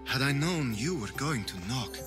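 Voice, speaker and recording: An actor playing a flamboyant, lecherous aristocratic reveler in a video game, with a teasing, languid, theatrical manner. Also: male voice, mid-range voice, expressive, moderate pace, music in the background, lightly acted. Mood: seductive. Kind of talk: video game cutscene acting.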